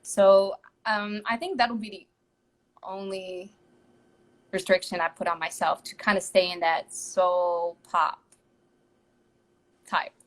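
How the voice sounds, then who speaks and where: A woman's voice: mid-range voice, little pitch movement, slow pace, pauses often, rough recording. Chatty Dutch woman singer. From Netherlands. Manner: chatty, laughs between thoughts. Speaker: guest singer. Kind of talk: casual interview over live stream.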